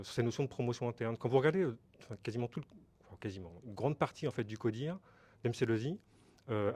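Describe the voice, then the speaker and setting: A man's voice: medium pitch, a little mellow. A middle-aged man from Brittany, France, answering interview questions as a company director, explaining hesitantly with many fillers and repeated words.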